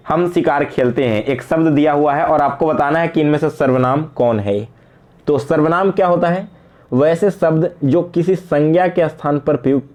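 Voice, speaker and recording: A man's voice: mid-range voice, a little mellow. Young man tutoring for an exam. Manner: conversational teaching with rhetorical questions and check-in tags; mixes English words into speech. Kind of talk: explanatory lesson, working through quiz questions.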